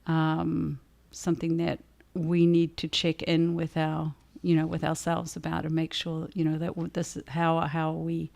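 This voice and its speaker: A woman with a notably low voice and plenty of vocal fry co-hosts a casual livestream. Her intonation stays quite flat as she thinks her way through answers to audience questions, halting and conversational. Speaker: author and livestream co-host.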